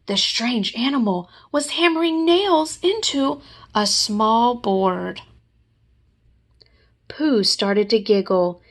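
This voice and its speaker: Female storybook reader, teacherly, unhurried and expressive, with creak in the voice. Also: on the high side. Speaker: teacher reading aloud. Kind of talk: expressive children's storybook narration.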